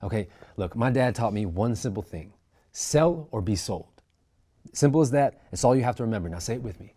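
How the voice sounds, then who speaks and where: A man's voice: fairly low pitch, animated, a little fast, mellow, husky. A man reading a crude, bullying boss realtor. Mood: bossy. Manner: crude, domineering, barking insults. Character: abrasive foul-mouthed male boss realtor. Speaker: actor in a script table read. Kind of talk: script table reading.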